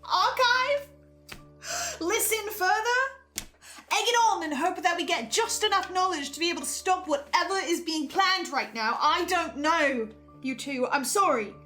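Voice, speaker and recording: Audio drama archivist, male role played by a woman: high, bright, anxious, self-doubting, prone to stammering. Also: unhurried, soft background music, heavily acted. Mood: helpless, flustered. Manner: stammering, nervous, breaking into hesitations.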